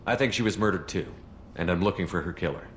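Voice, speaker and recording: Actor as quick, deep-voiced steady hero. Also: male voice, slight background noise, lightly acted. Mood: businesslike. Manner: direct, clipped. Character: steady, determined military hero, reassuring, businesslike. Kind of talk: video game dialogue.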